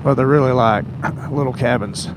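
A man's voice: mid-range voice, moderate pace. A man giving an earnest, colloquial, preachy vlog talk about faith as he rides a motorcycle. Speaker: motorcycle vlogger. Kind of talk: casual faith talk while riding. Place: outdoors, riding a motorcycle. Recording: loud engine, wind and road noise.

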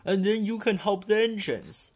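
Man as a railway boss, authoritative, scolding, formal, lively pitch, storybook. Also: normal speed, breathy edge. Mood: commanding.

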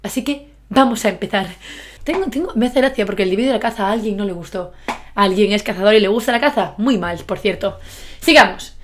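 A woman in her twenties with a Galician Spanish accent, chatting excitedly and mocking herself in a bedroom vlog. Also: mid-range voice, brisk. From Galicia, Spain. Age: 20s. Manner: excitable, self-mocking, bursts of shouting and laughter. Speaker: YouTuber. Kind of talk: reaction vlog, casual chat. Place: bedroom, indoors. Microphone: webcam with earphone mic.

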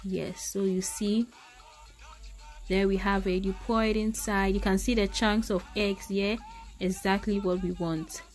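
A woman's voice: mid-range voice, little pitch movement, unhurried, soft background music. A woman demonstrating a recipe in her home kitchen, chatty and addressing viewers as her people. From Ghana. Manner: chatty, talks to viewers as "my people", exclaims over the food. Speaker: cooking vlogger. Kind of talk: casual cooking demonstration.

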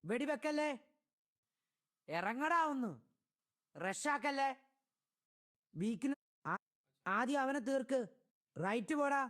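A man voices short game callouts and catchphrases. He spaces the words out at a slow pace, as lines from a voice pack. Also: extremely high pitch, animated, a bit bright.

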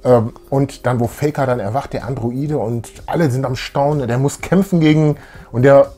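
Enthusiastic man chatting, medium pitch. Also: moderate pace, dark tone. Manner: enthusiastic, colloquial. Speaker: co-host of a retro fan show. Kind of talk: casual fan chat. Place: small indoor room full of collectibles.

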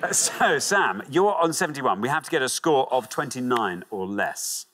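Lively, bright-voiced man hosting a quiz. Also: mid-range voice, normal speed. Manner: lively, chatty, encouraging. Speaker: quiz show host. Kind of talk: studio game show hosting. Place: large TV studio with audience. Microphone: lapel.

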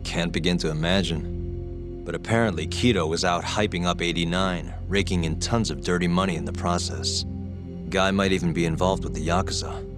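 Low-voiced, cool young male detective played by an actor. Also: normal speed, over music, lightly acted. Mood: guarded, suspicious. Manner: laid-back, wry, sardonic. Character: cool, cynical young male private investigator in leather jacket. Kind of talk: English game voice acting.

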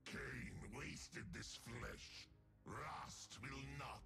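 Gravelly, savage demon weapon. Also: male voice, mid-range voice, very slow. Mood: gleeful menace. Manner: theatrical, taunting, savoring each word. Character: gleefully savage, mocking male demonic weapon spirit. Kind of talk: video game character voice lines. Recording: clear music under the lines.

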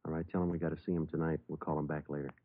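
An actor playing a terse, businesslike police sergeant in a 1950s radio drama, clipped, deadpan and matter-of-fact. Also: male voice, extremely low pitch, racing. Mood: brisk, terse. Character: terse, businesslike male police detective sergeant who narrates. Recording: muffled old radio recording with music.

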